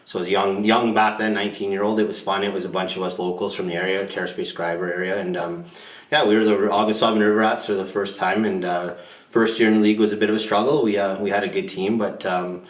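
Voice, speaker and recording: A man's voice: lowish voice, flat delivery, fast talker. A man, a former hockey player and coach, recounting team memories in a matter-of-fact way. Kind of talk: documentary interview. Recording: roomy sound from a distant mic.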